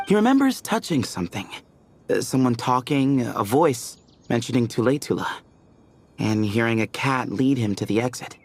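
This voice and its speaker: A man's voice: medium pitch, expressive, moderate pace, a little hiss or hum, lightly acted. A man voicing a friendly, easygoing young adventurer who speaks in an upbeat, agreeable way.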